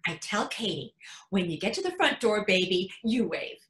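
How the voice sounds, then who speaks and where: A woman's voice: medium pitch, normal speed. A woman playing a devoted, emotional mother who tells her story in an emphatic staged reading, acting out what others say. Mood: wistful, emotional. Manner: animated, emphatic storytelling with acted-out quotes. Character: devoted, emotional mother of young children narrating. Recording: echoey room.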